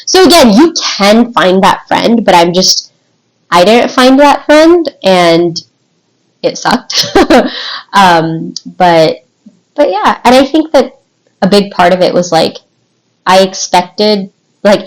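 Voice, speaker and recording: A woman in her twenties with an American accent, telling personal stories in an informal, animated, rambling way as a podcast guest. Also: medium pitch, slow, rough recording. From United States. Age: 20s. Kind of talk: casual podcast chat, personal storytelling.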